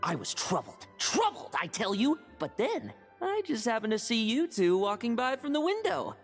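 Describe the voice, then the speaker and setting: A woman's voice: a little high, huge pitch swings, normal speed, big-room sound, faint music behind. A woman playing a cheeky, evasive young knight in a game, with a breezy, glib manner. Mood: scheming, playful. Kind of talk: game voice acting.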